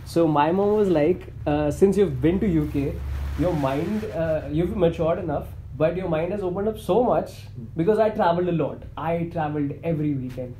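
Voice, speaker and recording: A man from India hosting a podcast, chatting casually and relaxed, with many fillers. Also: on the high side, normal speed. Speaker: podcast host. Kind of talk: casual conversation. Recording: echoey room with faint noise.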